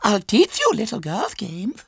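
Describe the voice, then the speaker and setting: A man's voice: high voice, huge pitch swings, measured pace, creaky, slightly rough, no echo, studio quality, voice pushed up, full character voice. A man voicing a prim, spoiled six-year-old girl in a storybook, pleading and insistent. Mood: prim, bossy.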